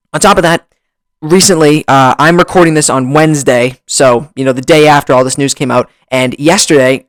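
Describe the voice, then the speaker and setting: A man hosting a casual vlog, talking excitedly with lots of restarts, his voice slightly hoarse from long recording, close on a desk mic. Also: medium pitch, no room sound. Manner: excitable, rambling with many restarts. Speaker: YouTuber. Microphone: desk mic, bumped at times.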